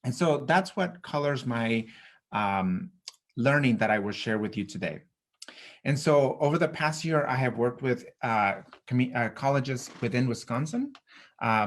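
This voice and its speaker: A man from El Salvador giving a webinar presentation as a nonprofit consultant, speaking in a measured way with frequent um and uh fillers. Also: mid-range voice.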